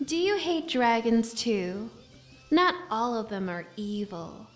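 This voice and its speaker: A woman's voice: on the high side, sing-song, slow, soft background music. A woman voicing a lonely, shy half-dragon companion in a video game, with a wistful manner. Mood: wary, hopeful.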